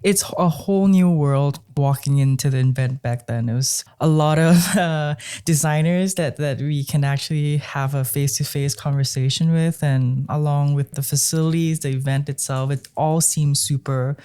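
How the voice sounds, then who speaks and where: A young woman from Singapore, a type designer talking as a podcast guest, chatty and quick to laugh. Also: low voice, steady tone, dark tone, clean tone. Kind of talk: casual podcast conversation.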